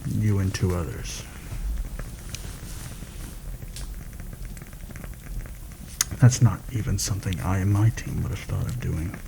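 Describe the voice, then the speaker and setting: A man playing a seasoned fantasy assassin in a soft-spoken ASMR roleplay, measured and deliberate, wary and proud. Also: low-pitched, gravelly, background noise. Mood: wary disbelief.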